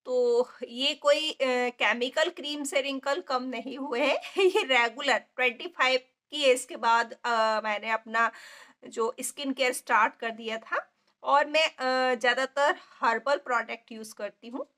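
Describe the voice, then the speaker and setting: A woman in her forties with a sore throat chats through beauty tips into a phone held close in a small parlour. Her voice is high and bright. She keeps asking viewers "okay?" between steps. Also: unhurried. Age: 40s. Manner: chatty and instructive, many fillers, frequent check-ins to viewers. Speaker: makeup artist. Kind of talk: casual beauty tips vlog. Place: indoor beauty parlour with ceiling fan. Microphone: phone camera held close.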